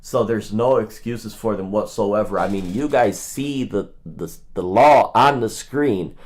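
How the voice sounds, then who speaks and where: A man's voice: fairly low pitch, measured pace, a little mellow. A man hosting a live call audit, speaking in an insistent, straight-to-the-point way as he makes phone requests and chats to his viewers. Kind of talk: phone requests and casual livestream commentary.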